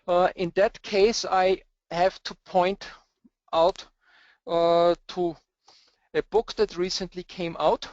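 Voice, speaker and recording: Austrian man, hesitant presenter, slightly high voice, dry lo-fi webinar sound. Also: flat delivery, takes it slowly, darkish tone, dry recording. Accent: Austrian German. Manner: hesitant, many filler sounds. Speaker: presenter, cluster architect. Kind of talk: webinar Q&A answers. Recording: dull lo-fi webinar audio, dry.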